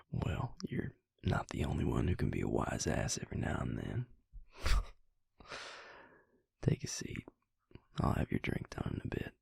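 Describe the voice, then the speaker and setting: A man playing a gentle, self-deprecating, protective vampire in an intimate audio roleplay, speaking in a gravelly, breathy voice with a halting, softly teasing delivery. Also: extremely low pitch, no room sound, studio quality, exaggerated voice. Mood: warm, teasing.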